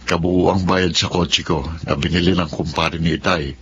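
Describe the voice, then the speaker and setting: A man's voice: deep voice, fairly even intonation, moderate pace, highs cut off, background noise. A man with a Filipino accent playing a hot-tempered, resentful husband in a radio drama. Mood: disappointed.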